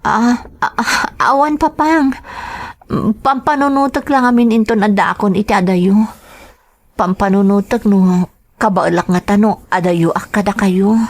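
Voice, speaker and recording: A woman's voice: medium pitch, steady tone, measured pace. A woman with an Ilocano accent playing a dutiful, earnest eldest daughter in a sentimental radio drama. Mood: hesitant. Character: dutiful eldest daughter, young woman going overseas to work. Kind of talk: Ilocano radio drama acting and first-person narration.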